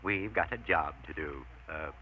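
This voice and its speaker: A man's voice: very deep voice, normal speed, slight background noise. A man playing a tipsy, pompous, whimsical photographer who declaims floridly in a vintage radio drama. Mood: grandiose. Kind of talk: 1940s-50s radio comedy-drama acting. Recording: dull vintage radio recording.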